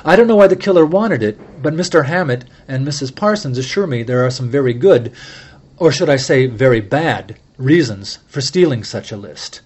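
A man voicing a calm, incisive amateur sleuth who lays out his case in measured, formal speech, for an audiobook. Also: medium pitch, slightly rough. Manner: measured, mild yet relentless, formal address.

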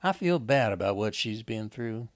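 A man's voice: fairly low pitch, lively intonation, normal speed, no room sound, natural voice. A man voicing a scheming, defensive wife in an audiobook story, emphatic and self-justifying. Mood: sweet, insincere.

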